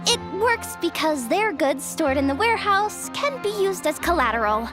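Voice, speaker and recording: An excitable young female guild worker from a video game. Her voice is extremely high and swings wildly with dramatic exclamations. Music plays clearly behind her. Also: normal speed. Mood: puzzled. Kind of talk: animated video game voice acting.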